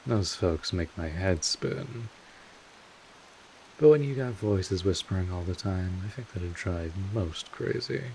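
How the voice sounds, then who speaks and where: A man playing a gruff but caring vampire mentor in an audio roleplay, explaining things in an informal, rambling, mildly exasperated way. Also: deep voice, expressive, slow, a little mellow, slight background noise, heavily acted, poor audio. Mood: wry, sympathetic. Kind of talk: scripted audio roleplay addressed to the listener.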